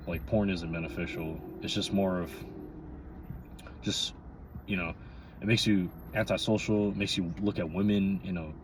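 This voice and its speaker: A man in his twenties giving a casual, candid, rambling monologue to viewers, often checking in with "you know.". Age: 20s, twenty-three. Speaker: vlogger. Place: inside a parked or running car. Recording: noisy, vehicle rumble inside car.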